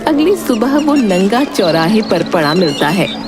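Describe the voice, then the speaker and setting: A woman's voice: mid-range voice, big pitch swings, unhurried, music in the background. A woman narrating a scripted dramatic tale as the storyteller.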